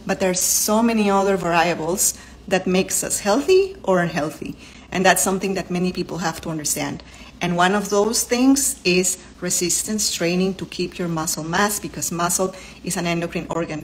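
A woman's voice: on the low side, a little hiss or hum, poor audio. A woman in her thirties whose first language is Spanish, speaking English with a Spanish accent. She talks to the camera at home and explains health topics in an earnest way, listing terms one by one. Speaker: vlogger and doctor. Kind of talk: casual talk to camera. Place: home living room, indoors.

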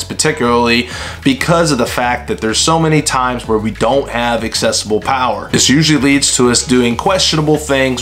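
A man in his thirties, an HVAC technician, casually reviewing a tool at a desk in a small workshop, talking in a relaxed way with fillers. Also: medium pitch. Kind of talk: casual product review vlog. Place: small indoor workshop with bookshelves.

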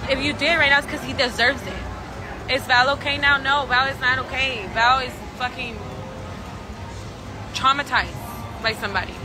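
High-voiced brash Mexican woman on a livestream. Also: fairly even intonation, moderate pace, pauses often, over music, crowd noise, poor audio. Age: 30s. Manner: brash, profane, switching into Spanish. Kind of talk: venting on a phone livestream. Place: outdoors near traffic. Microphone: phone.

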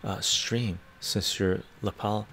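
An older man from Canada talks loosely and warmly at a relaxed pace, responding to chat messages one by one. His voice sits low with a rough, gravelly fry. It is close and clean through a clip-on mic in a book-lined home study. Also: slow, slight background noise. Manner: chatty, answers viewer comments aloud. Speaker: livestream host reading chat. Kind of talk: casual livestream chat. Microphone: lapel mic.

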